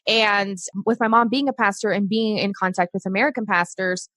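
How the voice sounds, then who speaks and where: A woman's voice: mid-range voice, a little fast, pushed and bright, no room sound. Chatty woman from Brazil, dry close sound. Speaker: podcast guest, success coach for moms. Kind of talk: casual interview chat.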